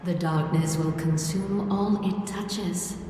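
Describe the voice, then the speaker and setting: A woman's voice: fairly low pitch, steady tone, takes it slowly, mellow, soft background music. A woman playing an ancient dead Jedi spirit in a video game, speaking solemn, portentous prophecy. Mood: ominous, solemn. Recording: echoing long reverb with noisy ambience.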